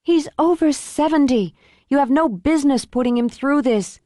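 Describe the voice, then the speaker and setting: A woman voicing a proud, defensive young nature guide in an audiobook, sharp and quick to flare. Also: on the high side, no room sound, clean studio sound, voice pushed up, lightly acted. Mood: alarmed. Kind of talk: audiobook character reading.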